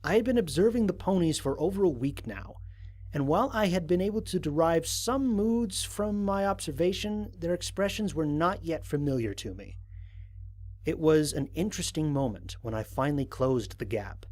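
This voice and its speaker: Man acting an analytical explorer with lively intonation. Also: on the high side. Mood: measured, intrigued. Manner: measured, formal, precise scientific diction. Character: analytical, dryly wry human explorer logging first contact. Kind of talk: scripted audio drama mission log narration.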